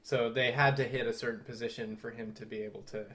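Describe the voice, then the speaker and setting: A man with a mid-range voice co-hosts a conversational film history podcast. He explains things in a measured, thoughtful manner, talking through details as he goes. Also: mid-range voice, steady tone, a bit bright. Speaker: podcast co-host.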